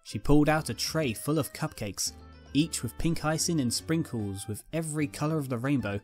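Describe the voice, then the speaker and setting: Male story narrator over soft music. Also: fairly high pitch, moderate pace. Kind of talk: scripted fan fiction story narration.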